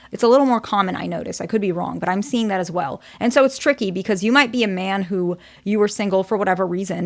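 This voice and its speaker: A soft-spoken woman with a quiet voice, a therapist hosting her channel, giving casual, candid relationship advice to her viewers, often pausing and restarting her phrases. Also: lowish voice. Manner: candid, hedging, talks in short restarting phrases. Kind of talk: casual advice talk to camera.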